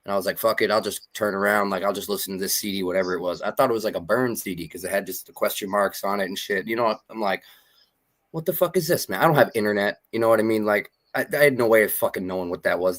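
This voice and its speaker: A man's voice: lowish voice, fairly bright tone. A man from Maine, likely in his thirties, telling stories in a casual interview, laid-back and swearing a lot. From Maine, USA. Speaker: rapper, interview guest.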